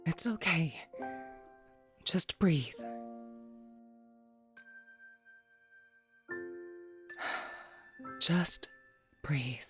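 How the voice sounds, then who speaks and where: Expressive, coaxing wife played by a woman. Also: sits low, extremely slow, soft background music, full character voice. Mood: soothing. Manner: pleading, encouraging, expressive. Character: Naya, wife and former writer, coaxing her stubborn husband. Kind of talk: audio drama dialogue.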